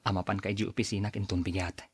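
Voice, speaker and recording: A man playing an engineer and younger brother, proud but able to soften, in an Ilocano radio drama. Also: sits low, flat delivery, fast talker, breathy edge, no echo, clean studio sound. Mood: subdued, softened. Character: engineer younger brother, reluctant then humbled helper of relatives.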